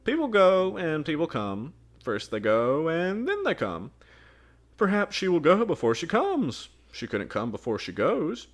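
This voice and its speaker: A man's voice: a little high, huge pitch swings, a little slow, pitched above the performer's own voice, exaggerated voice. A man voicing an odd young village girl who talks in prim, teasing riddles and sounds pleased with herself.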